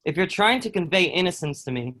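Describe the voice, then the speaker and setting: A man's voice: high voice, animated, a little fast, bright, forceful tone, exaggerated voice. A man playing a scornful soldier and prison guard, dry in manner, in a table read of a historical play.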